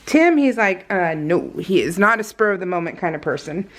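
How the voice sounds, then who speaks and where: A woman's voice: fairly low pitch, big pitch swings, fairly quick. A woman with an American accent chatting casually and unscripted as a vlogger, in a rambling, giggly, storytelling way. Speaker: vlogger hosting a scrapbooking process video.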